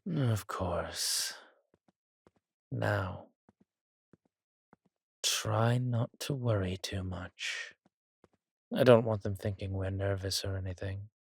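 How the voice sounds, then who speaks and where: Fantasy villain king, male performer: deep, slow, silky and measured, courteous with quiet menace. Dry audio-drama sound. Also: gravelly, husky, no echo, heavily acted. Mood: soft, reassuring.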